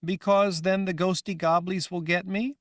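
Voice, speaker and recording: A man's voice: flat delivery, moderate pace, dry recording, studio quality, natural voice. A man putting on a frightened, childlike young woman. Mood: scared pleading. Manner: high, anxious, pleading questions. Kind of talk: audiobook reading.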